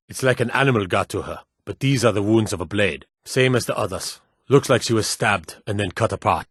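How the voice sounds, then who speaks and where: Fantasy game city guard, low slightly husky voice, weary, gruff, serious, measured delivery. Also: male voice, no room sound, clean studio sound. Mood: grim, weary. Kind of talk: video game character dialogue.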